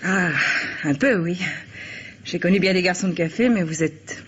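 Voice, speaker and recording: A woman's voice: on the low side, normal speed, natural voice. An actress playing a frank, world-weary woman in her forties who runs a café, in an old French radio drama. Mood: wry, curious. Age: 40s. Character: world-weary forty-year-old café owner, former gangster's companion. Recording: muffled old radio recording, background hiss.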